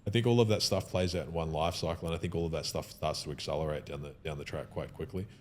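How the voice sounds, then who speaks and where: A man speaks in a podcast conversation with a very low voice and a quick pace. He is emphatic and opinionated, often starting a sentence and restarting it. A faint rumbling noise sits under the recording. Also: fairly even intonation.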